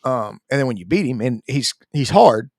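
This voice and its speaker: A man's voice: medium pitch, expressive, normal speed, darkish tone, dry recording, clean studio sound. A man co-hosting a gaming podcast, talking in an informal, conversational way. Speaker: podcast co-host. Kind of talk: casual gaming podcast chat.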